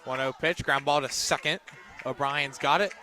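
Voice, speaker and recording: A man doing live radio play-by-play commentary of a softball game from outdoors at the field. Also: medium pitch, moderate pace, crowd noise, background noise. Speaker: play-by-play sports announcer.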